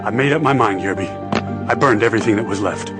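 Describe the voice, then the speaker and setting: A man's voice: on the low side, quick pace, natural voice. A man playing a cold, scheming small-town banker in a radio drama, curt and sneering, with music behind.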